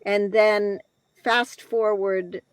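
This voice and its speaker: An older American woman from Connecticut telling her life story off the cuff, reflective and halting, pausing between short phrases. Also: mid-range voice, steady tone, no room sound. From Connecticut, northeastern United States. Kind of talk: unscripted personal storytelling.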